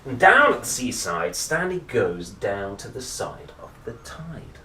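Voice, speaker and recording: A man reads a picture book to children with playful, swooping expression and an unhurried pace. His delivery is animated, slipping into chatty asides to the listener. The room adds a clear echo around his voice. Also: mid-range voice. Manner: playful, expressive, dramatic pauses and exclamations. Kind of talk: animated storybook read-aloud with chatty asides. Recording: roomy echo, faint background noise.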